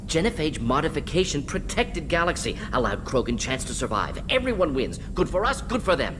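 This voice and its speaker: A self-assured male alien scientist from a video game. He speaks in brisk, clipped fragments with lively, swinging pitch and defends his grim work with certainty. There is clear ambient noise behind him. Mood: insistent, agitated. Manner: clipped telegraphic sentences, dropping small words.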